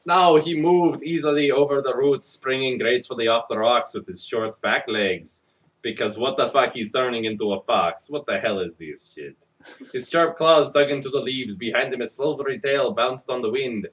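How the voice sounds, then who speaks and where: A man's voice: medium pitch, moderate pace, fairly bright tone. A man narrating a comic dramatic reading of a children's story, hammy and sarcastic, recorded at some distance from the microphone.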